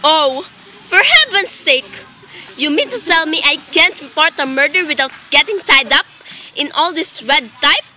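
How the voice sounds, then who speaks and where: A woman acts out an anxious, demanding wife in a radio drama. Her voice is high and very sharp, insistent and indignant in delivery. Faint noise sits behind the recording. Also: animated, moderate pace, exaggerated voice, rough recording. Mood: indignant, exasperated. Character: anxious, imperious, exasperated invalid wife reporting a murder plot. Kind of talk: amateur radio play acting.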